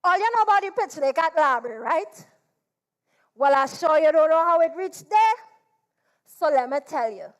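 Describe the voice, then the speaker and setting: A woman's voice: high-pitched, expressive, low-quality recording. A woman with a Trinidadian accent performing a folk tale as a dramatic storyteller, drawing words out theatrically and repeating them. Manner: theatrical, drawn-out delivery with repetition. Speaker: storyteller performing at a literature competition.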